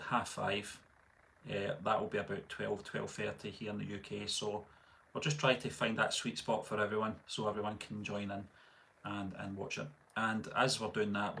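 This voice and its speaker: A middle-aged man with a Scottish accent, hosting a casual live stream and reading out viewer comments in a relaxed, rambling way full of fillers and restarts. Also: on the low side, vocal fry, a little hiss or hum. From Scotland. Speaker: channel host.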